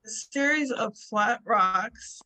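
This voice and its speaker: A woman with a soft voice, answering as a therapy client on a video call in slow, brief replies. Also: fairly high pitch, rough recording.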